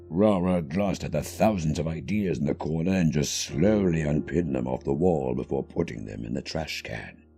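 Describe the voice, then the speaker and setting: A man reading a scripted story as narrator, in an expressive, dramatic manner. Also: low voice, gravelly. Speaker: narrator of a fan fiction reading.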